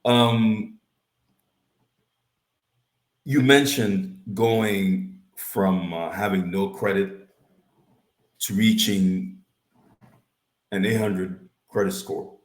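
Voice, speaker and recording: A man hosting an interview, asking halting questions with many fillers and restarts. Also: lowish voice, echoey room. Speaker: podcast host.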